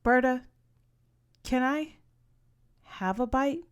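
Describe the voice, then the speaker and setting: A woman's voice: high-pitched, takes it slowly, clear, resonant tone, voice pushed up. Young girl for a storybook, acted by an adult woman: sulky, defiant, a bit whiny. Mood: reluctant. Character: stubborn, sulky young girl, resentful and pleading.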